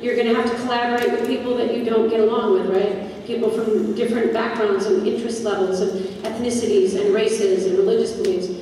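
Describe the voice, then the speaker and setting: A woman's voice: fairly high pitch, steady tone, a little fast, hardly any pauses, warm tone. A woman, a drama director, talking off the cuff to an audience and repeating phrases for emphasis, recorded in an echoey auditorium. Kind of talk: spontaneous talk to an audience. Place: school theater. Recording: echoey auditorium from far off, noisy.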